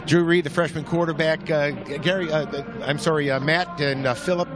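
A man as a sideline reporter interviewing a player on a stadium field at night with a handheld mic, halting and full of fillers. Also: a little high, steady tone. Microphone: handheld microphone. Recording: noisy outdoor field.

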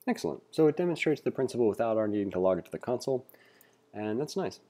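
A man giving a read-along tutorial as an instructor, reading aloud and adding informal asides as he thinks out loud. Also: medium pitch, animated, fast. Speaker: programming instructor. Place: indoors, screen-recording voice-over.

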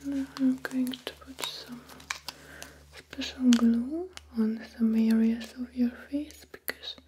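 A woman in her thirties whispering gently and unhurriedly in an ASMR personal-attention roleplay, close to the mic in a small room. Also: on the high side, fairly even intonation, takes it slowly, a little hiss or hum. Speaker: ASMR roleplay host acting as make-up artist. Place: small decorated room indoors. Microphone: close microphone for ASMR.

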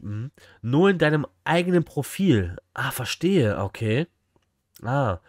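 A young male streamer talks in a casual, slangy way to his viewers. His mid-pitched voice is recorded close and dry indoors, and he chats informally as he reacts live. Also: very animated, takes it slowly, no echo. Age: young adult. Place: small indoor room at home.

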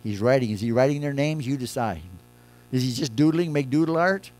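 Older pastor preaching from the pulpit. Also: male voice, medium pitch, a little fast, soft background music. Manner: conversational preaching, swings between loud emphasis and soft quiet lines. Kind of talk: sermon. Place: church sanctuary at a pulpit.

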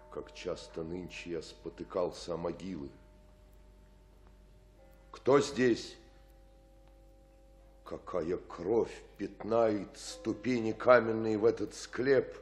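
An actor playing a pious, fearful monk in a radio tragedy, theatrical and full of horror and urgency. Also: male voice, low-pitched, slow, long pauses, faint music behind. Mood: hushed horror. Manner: theatrical, exclamatory. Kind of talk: radio-play tragic verse acting.

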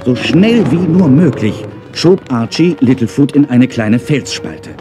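A man's voice: medium pitch, expressive, a little slow. A man narrating a scripted children's story in a dramatic storytelling manner. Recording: dull cassette sound with music.

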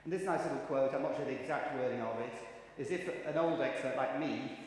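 A man's voice: medium pitch, a little fast. A man in his fifties giving a conference keynote, chatty and wry, telling anecdotes to the audience. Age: 50s. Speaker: keynote speaker. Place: large conference hall. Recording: echoey hall, faint ambient noise.

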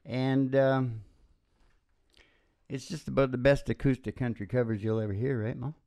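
An older man hosting a homemade show with informal, halting, joking banter into a stage microphone. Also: mid-range voice, little pitch movement, gravelly, warm tone. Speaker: radio-style show host and country singer. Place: small dim wood-panelled room, indoors. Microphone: vocal microphone on a stand. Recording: dull lo-fi live recording with guitar.

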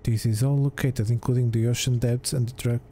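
Man, mid-pitched, very relaxed mellow tone, casual gamer commentary, hesitant muttering. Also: fairly quick, a little hiss or hum. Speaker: gamer, let's play host.

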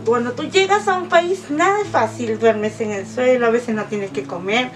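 A woman in her fifties from Peru, answering interview questions with emphatic, earnest speech. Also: normal speed. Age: 50s. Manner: emphatic, rising pitch when stressing points. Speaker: interviewed migrant. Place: small indoor office. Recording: distant mic with background guitar music.

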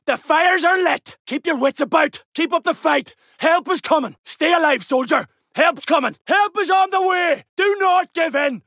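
A man voicing a gruff, battle-hardened soldier in a video game, barking urgent, blunt combat lines. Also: very high voice, normal speed, few pauses, no room sound, studio quality, full character voice. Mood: urgent, defiant. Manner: urgent, forceful, blunt swearing.

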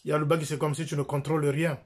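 A man's voice: on the high side, little pitch movement, quick pace, darkish tone. Insistent middle-aged Congolese man. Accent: Congolese French. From Republic of the Congo. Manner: insistent, repeats phrases, jabs with his finger. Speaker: church member and critic. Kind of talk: direct-to-camera denunciation. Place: small indoor room. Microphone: phone camera close up.

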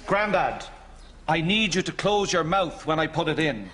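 A man's voice: on the high side, animated, normal speed, a crowd in the background, close to an everyday voice. A man playing a polite, long-suffering family doctor in a sitcom, formal in manner. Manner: formal, matter-of-fact. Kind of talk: studio sitcom acting. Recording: noisy sitcom studio.

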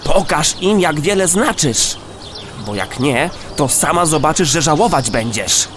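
An actor voicing a little rat, an urging friend, in a children's audio play. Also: male voice, fairly high pitch, lively intonation, unhurried. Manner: urging, pushy. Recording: clear noise with birdsong.

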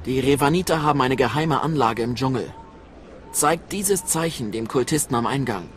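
A man playing a proud, grave Sith apprentice recruiter in a German game dub, formal and measured. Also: mid-range voice, lively intonation, a little hiss or hum, natural voice. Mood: scornful, instructing.

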